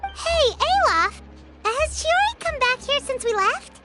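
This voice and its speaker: An actress playing a small, excitable childlike fairy companion in a video game, with a squeaky, perky delivery. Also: female voice, takes it slowly, full character voice. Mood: eager. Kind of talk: video game character dialogue. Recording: music behind the voice. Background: background music.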